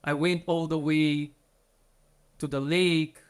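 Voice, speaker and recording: A man's voice: on the high side, flat delivery, slow, smooth. A man from Bulgaria, in his thirties, earnestly telling his story as an interview guest, with slightly phrasing.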